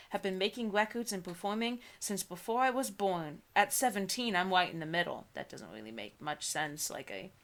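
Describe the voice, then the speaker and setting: A young woman in a casual read-aloud, giggly and often breaking off to add mocking comments. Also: medium pitch. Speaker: co-host reading books aloud.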